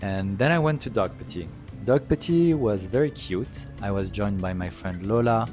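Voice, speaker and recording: Deep-voiced French man vlogging over music. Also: moderate pace, a little mellow. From France. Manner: chatty, rambling, with many fillers and self-corrections. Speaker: food vlogger. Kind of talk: casual travel vlog narration.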